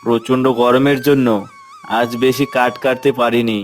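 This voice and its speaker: A man's voice: medium pitch, little pitch movement, normal speed, soft background music, natural voice. A man playing a hardworking, earnest village woodcutter and father in a cartoon moral story. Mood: tired, worried. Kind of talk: cartoon voice acting.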